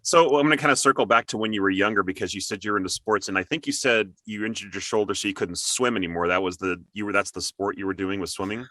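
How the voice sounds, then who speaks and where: A man's voice: on the low side, fast, hardly any pauses, sharp tone, no room sound, clean studio sound. A man hosting an interview show, speaking in an upbeat, conversational style. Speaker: host, interviewer.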